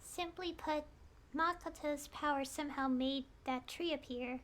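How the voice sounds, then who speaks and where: A woman playing a calm, understanding young female traveler in a game, gentle and plain-spoken. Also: sits high, unhurried. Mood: explaining. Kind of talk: game voice acting.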